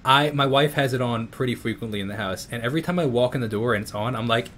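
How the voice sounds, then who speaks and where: A young man with a New York accent, speaking at a middling pitch as he chats his way through an interview over a video call. His sentences ramble and restart and are padded with fillers, and a faint low rumble hums under his voice. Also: quick pace, fairly bright tone. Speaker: interviewer, YouTube music channel host.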